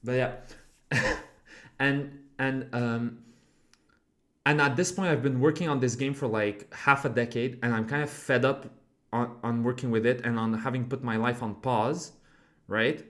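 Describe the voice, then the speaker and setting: A man's voice: medium pitch, fairly even intonation, a little slow, fairly bright tone, roomy sound. A man in his twenties chatting casually on a live stream, rambling with lots of fillers and swearing as he answers his chat. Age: 20s. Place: home room.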